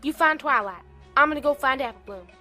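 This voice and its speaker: A woman's voice: high voice, expressive, fast talker, pushed and bright, soft background music, voice pushed up. A woman voicing a plainspoken, folksy, affectionate country pony in an audiobook. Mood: concerned. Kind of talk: audiobook character voice.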